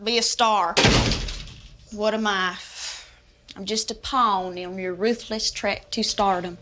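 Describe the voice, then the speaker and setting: An actress playing a wisecracking diner waitress in a 1980s TV sketch, with a sassy twangy drawl. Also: female voice, medium pitch, steady tone, slow, noisy background, natural voice. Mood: glum. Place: TV studio set with audience.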